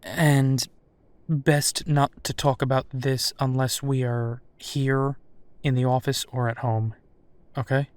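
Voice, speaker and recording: A man acts out a protective werewolf detective for an intimate audio roleplay. His voice sits at a middle pitch and he talks with caring, worried steadiness, pausing as he reassures. The sound is dry and close, with no room on it. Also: level tone, no echo, lightly acted. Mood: protective, reassuring.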